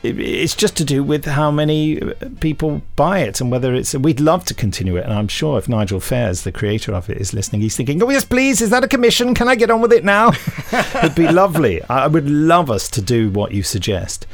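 A man's voice: on the high side, animated, fairly quick, hardly any pauses, faint music behind. A man hosting a podcast, reading out listener emails with jokey asides. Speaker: podcast host.

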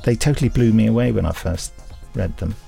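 A man's voice: on the low side, fairly quick, gravelly, mellow, soft background music. A man co-hosting a podcast, playful and enthusiastic in casual chat. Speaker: podcast co-host and actor.

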